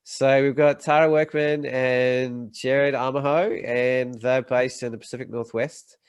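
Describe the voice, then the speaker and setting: A man's voice: mid-range voice, steady tone, measured pace, smooth, dry recording. A man from the United States hosting a podcast interview over a video call, rambling with many fillers and restarts. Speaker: podcast host. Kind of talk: casual interview chat.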